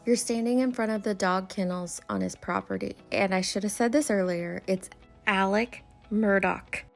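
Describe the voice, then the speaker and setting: A woman delivers casual commentary as she reacts to someone else's video. Her voice sits at a middle pitch with plenty of vocal fry, and she is blunt and quick to correct. Faint music plays behind her. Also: expressive.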